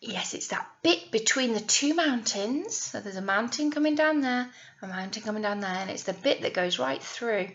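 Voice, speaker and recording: Woman storyteller, high bright voice, exaggerated words, questions to young listeners, slightly dull lo-fi recording. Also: lively intonation, moderate pace. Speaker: teacher reading a picture story to young children. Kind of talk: animated read-aloud storytelling with asides to children.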